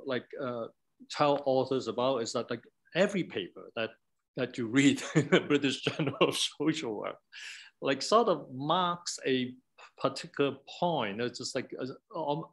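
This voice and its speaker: A man, an academic researcher, speaking informally in a halting, discursive way with frequent "like" and "right?". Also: mid-range voice.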